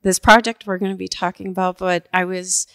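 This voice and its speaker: A woman's voice: fairly low pitch, fairly even intonation, a little fast, a bit bright, clean tone, dry recording. A middle-aged woman, a mayor hosting a monthly podcast, friendly and chatty as she introduces and questions her guest. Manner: friendly, chatty, a bit scripted. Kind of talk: podcast interview.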